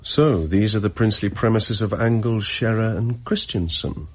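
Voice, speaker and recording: A man's voice: on the low side, moderate pace, close to an everyday voice. A man playing a suave, teasing seducer in a radio drama, with an arch, drawling manner. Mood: wry, insinuating.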